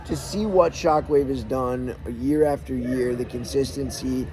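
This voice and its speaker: A man's voice: mid-range voice, measured pace, a little hiss or hum, low-quality recording. A man co-hosting a podcast, chatting energetically in a casual recap of sports results and leading the talk. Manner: energetic, talkative, leads the segments. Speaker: podcast co-host.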